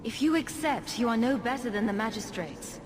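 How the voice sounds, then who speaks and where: A woman's voice: on the high side, lively intonation, moderate pace, noisy background, natural voice. An actress playing a witty, self-assured mage adventurer in a video game, teasing and dryly playful in her delivery. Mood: scornful. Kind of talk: dramatic video game acting. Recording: long reverb, music behind.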